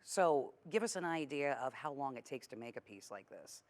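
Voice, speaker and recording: An American woman with a low voice hosts a TV program. She speaks casually and conversationally, putting questions to a guest in a studio interview. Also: lively intonation, moderate pace, a little mellow. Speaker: TV show host.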